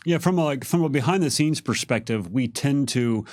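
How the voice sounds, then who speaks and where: A man's voice: medium pitch, expressive, normal speed, a little mellow, dry recording, studio quality. A man casually explaining fictional lore as a game developer, unscripted, with emphatic stresses on key words. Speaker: game developer answering lore questions on a live stream.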